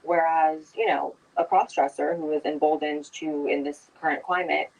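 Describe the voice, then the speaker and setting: A debater makes an argumentative, emphatic case, caught on a microphone set some way off. The pitch sits mid-range and the voice has a slightly distant, open sound. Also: fairly even intonation, moderate pace, creaky, highs cut off. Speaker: debate participant. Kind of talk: heated debate.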